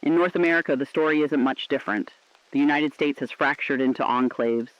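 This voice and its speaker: A man playing a somber, resolute radio correspondent in a scripted doomsday broadcast, speaking deliberately and poetically. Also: medium pitch, dry recording, lightly acted. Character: somber, resolute radio co-anchor reporting civilization's collapse. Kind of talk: scripted dramatic apocalyptic news broadcast. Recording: slightly dull, dry studio sound.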